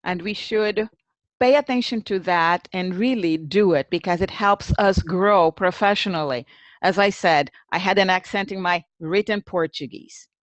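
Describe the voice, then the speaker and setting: A woman's voice: fairly low pitch, normal speed, dry recording. A woman presenting an informal webinar as host, conversational, thinking aloud and addressing attendees by name.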